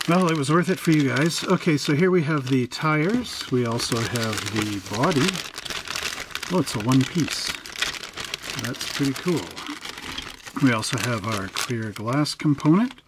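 A man's voice: medium pitch, background noise. A middle-aged man, chatting in a folksy, informal way as he hosts a casual hobby walkthrough. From western Canada. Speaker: host of a model kit channel. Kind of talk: casual unboxing and instruction walkthrough.

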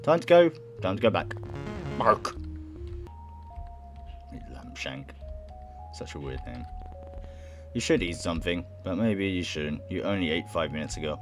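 A young man with a low-pitched voice plays through a game on mic. He reads the on-screen text aloud in a casual way and drops in his own offhand reactions. Soft game music runs behind him. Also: expressive, normal speed. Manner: stumbles over words while reading. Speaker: gaming video host. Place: small room at home, indoors.

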